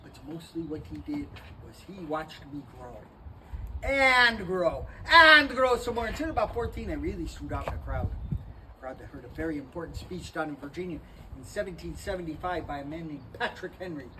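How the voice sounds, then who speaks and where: A man's voice: lively intonation, normal speed. A man doing a boastful giant soldier. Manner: theatrical, playful storytelling, swinging from booming to hushed. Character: towering young Portuguese-born soldier, boastful, cheerful. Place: historic park. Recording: distant mic with outdoor ambient noise.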